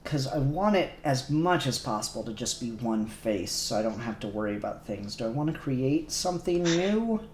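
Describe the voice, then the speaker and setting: A man's voice: mid-range voice, expressive, moderate pace, hardly any pauses, a little mellow, slight background noise. A man co-hosting a casual livestream, rambling and laughing as he thinks aloud while doing 3D modelling. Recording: echoey room with faint music. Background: faint music.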